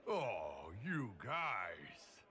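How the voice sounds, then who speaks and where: Hulking jovial mercenary boss for fantasy game, low, unhurried, hearty. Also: male voice, huge pitch swings, pushed and bright, full character voice. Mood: sly, pleased. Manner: easygoing, casual, hearty. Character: big, jovial male mercenary chief, laid-back brawler. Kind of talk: video game cutscene acting.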